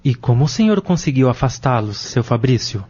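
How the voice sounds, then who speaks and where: A man's voice: mid-range voice, animated, brisk, dark tone, slightly rough. An actor playing a respectful, inquisitive young man in an audio drama, with a Brazilian accent. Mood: curious. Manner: respectful questioning. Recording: dull lo-fi audio.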